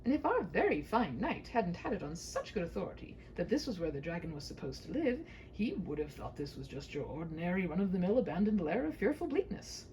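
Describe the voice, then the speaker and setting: A woman's voice: on the low side, big pitch swings, a little fast, few pauses, darkish tone, a little hiss or hum, low-quality recording. A woman narrating a humorous written story with a theatrical, mock-grand storybook delivery.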